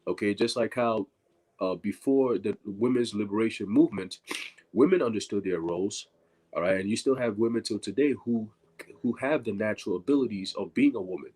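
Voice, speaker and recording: A man with a stuffy nose from allergies, speaking up in an online scripture class, halting and repeating his words. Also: lowish voice, darkish tone, slightly rough, poor audio. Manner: halting, repeats words, many "you know"s. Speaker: class participant. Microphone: video call.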